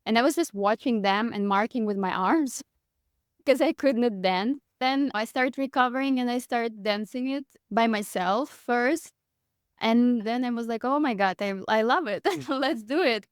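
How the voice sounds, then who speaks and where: A woman's voice: a little high, measured pace, clear, resonant tone, dry recording, clean studio sound. A woman in her thirties from Moscow with a Russian accent, talking casually as an interview guest and laughing often as she tells her story. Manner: chatty, laughs often, frequent "like". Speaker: guest, dance teacher.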